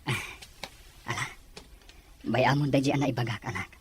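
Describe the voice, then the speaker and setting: A man's voice: medium pitch, moderate pace, a little hiss or hum, natural voice. A man acting a caring, apologetic father in an audio drama, with an Ilocano accent. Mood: gentle, apologetic. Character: caring father, apologetic, proud of his daughter.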